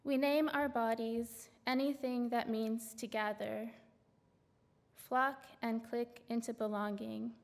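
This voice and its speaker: A woman from Canada reading her poems on a stage, halting and full of fillers between poems and measured within them. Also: a little high, fairly even intonation, creaky. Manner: hesitant, filler-laden asides between measured poem readings. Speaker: poet reading her work. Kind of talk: poetry reading with spoken introductions. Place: large auditorium stage. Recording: long hall reverb.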